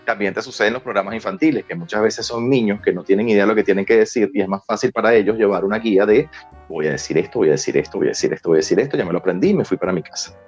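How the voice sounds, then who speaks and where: A man's voice: on the low side, racing, darkish tone, breathy edge, lo-fi sound, soft background music. A man with a Venezuelan accent giving conversational, explanatory answers as an interview guest.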